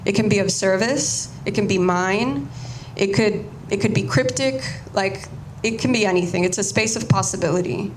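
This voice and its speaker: A young woman talks informally to a room about her art, her voice at a middle pitch. She often circles back and restarts her sentences. A faint hiss of noise sits behind her. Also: normal speed. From United States, Cuban-American family. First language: Spanish. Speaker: artist giving a talk. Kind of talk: casual artist talk to an audience.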